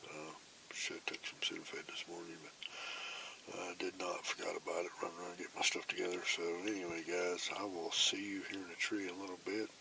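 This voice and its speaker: A man's voice: deep voice, fairly quick, creaky, background noise. Man vlogging from the woods. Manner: excited rambling storytelling; hushed whispering in tree stand. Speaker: bowhunting vlogger. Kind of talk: casual hunting vlog. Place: outdoors in woods. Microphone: phone.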